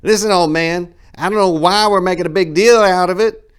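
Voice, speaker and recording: A wiry young showman of a gangster , acted by a male narrator, pitched well up and loud. He is cocky and taunting, full of flamboyant swagger in a pulpy story reading. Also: moderate pace, smooth, natural voice. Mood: dismissive. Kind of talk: dramatic short story reading.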